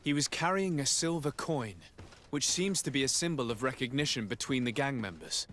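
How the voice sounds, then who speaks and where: A man's voice: medium pitch, normal speed, fairly bright tone, slight background noise, close to an everyday voice. A man acting as a stern emissary of a merchant state in a video game, speaking formally and with measure. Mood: grave, formal.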